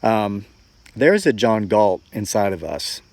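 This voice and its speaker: A man's voice: on the low side, expressive, measured pace, darkish tone, dry recording. A man in his forties talking casually and reflectively to viewers as a homesteading vlogger, with a folksy, earnest, rambling way of telling things. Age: forty-four.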